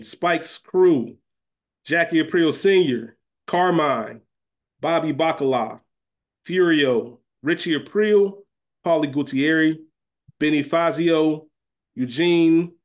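Man, slightly higher-pitched voice, podcast co-host, informal, conversational, casual banter. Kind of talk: casual banter about a TV series fantasy draft.

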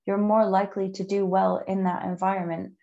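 A woman who is a native English speaker, hosting a conversational interview in a measured, encouraging way with frequent ums. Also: medium pitch, level tone, creaky, warm tone, dull audio. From outside the UK. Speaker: podcast host.

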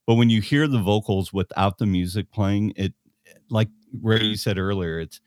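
Co-host: man, late 50s, deep register, easygoing explainer, close dry recording. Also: moderate pace, no room sound. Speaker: podcast co-host. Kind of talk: casual chat.

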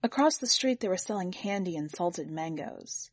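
A woman's voice: fairly low pitch, expressive, moderate pace, husky, dry recording. A woman narrating a first-person literary short story from a script. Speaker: podcast editor and story reader.

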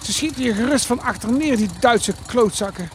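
A man's voice: extremely high pitch, a little fast, very noisy. A man playing a cautious, protective Dutch village father in a wartime audio drama, nervous and urgent. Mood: alarmed, protective.

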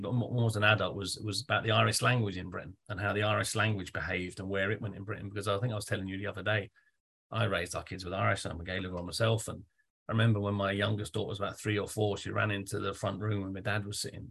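A man's voice: fairly low pitch, gravelly, fairly bright tone, breathy edge. A man interviewing a guest in a loose, chatty way, swearing freely. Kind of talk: casual conversational interview.

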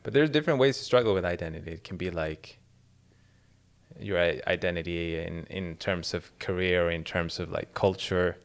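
Thoughtful low-voiced man musing aloud. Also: normal speed, clean tone. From second-generation immigrant. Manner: thoughtful, searching, restarts often. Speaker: podcast co-host. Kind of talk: casual chat.

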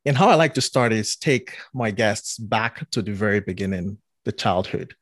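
A man's voice: on the low side, lively intonation, normal speed, a bit bright, no room sound, clean studio sound. A man hosting a podcast, encouraging his guest to share memories. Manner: encouraging, inviting questions. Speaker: podcast host. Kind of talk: interviewer.

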